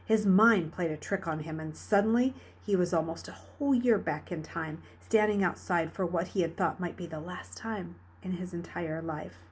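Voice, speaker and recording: A woman reading a novel aloud as a storyteller, with dramatic, expressive delivery. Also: on the low side, moderate pace, few pauses, slightly rough. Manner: dramatic, expressive, with hushed dips and emphatic rises. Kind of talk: read-aloud storytelling narration.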